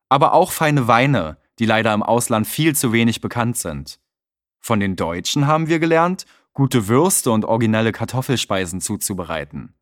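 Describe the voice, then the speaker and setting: A man's voice: mid-range voice, dry recording. A man in a middle register reads a scripted text in a friendly, explanatory tone. His voice is close and dry, with no room sound around it. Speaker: narrator and tour guide. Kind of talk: scripted narration.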